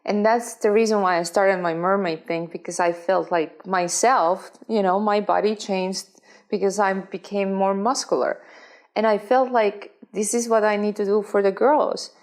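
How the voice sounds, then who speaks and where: Earnest Estonian woman guest, halting English. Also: mid-range voice, fairly even intonation, darkish tone. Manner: earnest, non-native phrasing with frequent restarts. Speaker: guest, professional swimmer. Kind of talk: conversational interview.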